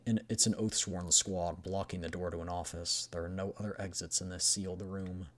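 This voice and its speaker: A low-pitched streamer reads a game story aloud. The delivery moves between scripted narration and casual commentary on the game. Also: little pitch movement, normal speed.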